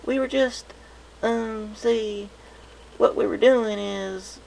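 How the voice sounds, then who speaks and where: Tiny twangy filly for a story, squeaky high, earnest kid sister. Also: male voice, fairly even intonation, takes it slowly, gravelly, a little mellow, full character voice. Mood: evasive. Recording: noisy home recording.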